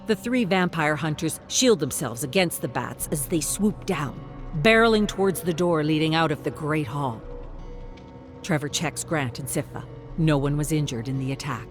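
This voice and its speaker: A narrator telling a gothic vampire-hunting tale for a game in a measured, dramatic, solemn way, as scripted story narration. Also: medium pitch, expressive, soft background music, natural voice. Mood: grave, suspenseful.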